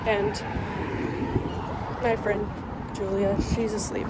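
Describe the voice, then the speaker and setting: A woman's voice: medium pitch, flat delivery, takes it slowly. A young woman with a head cold, chatting casually to her camera as a flight attendant on a layover. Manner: chatty, giggly, rambling. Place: outdoors on busy city streets. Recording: loud crowd and street noise.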